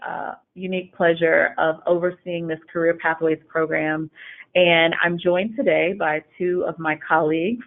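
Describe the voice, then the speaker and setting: A woman hosting a semi-scripted webinar, introducing and questioning guests as a programme director. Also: lowish voice. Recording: thin phone-like line.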